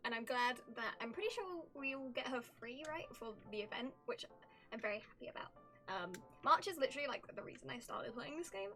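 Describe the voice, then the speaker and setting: Woman, game streamer, fairly high bright voice, casual commentary, playful, chatty, sarcastic asides. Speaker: streamer reacting to a game story. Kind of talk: casual live gaming commentary. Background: faint game music.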